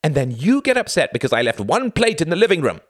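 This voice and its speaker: A man's voice: mid-range voice, huge pitch swings, fairly quick, gravelly, fairly bright tone, no room sound, studio quality, voice pushed up, exaggerated voice. A man playing a sarcastic, furious young husband, ranting and condescending in acted audiobook dialogue. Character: enraged sarcastic husband, young graduate student in a failing marriage.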